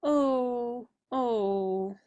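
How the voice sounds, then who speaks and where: A woman playing a high-voiced, pleading young actress. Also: extremely slow, dark tone, muffled recording. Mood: hurt. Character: pleading, distressed young actress, a celebrated stage beauty. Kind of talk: audio play acting of a period drama.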